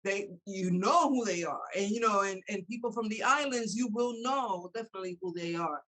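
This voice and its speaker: A woman's voice: medium pitch, fairly quick. Middle-aged woman with Spanish accent. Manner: chatty, warm-hearted affirmations, frequent laughter. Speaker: interview host. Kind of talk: casual interview chat.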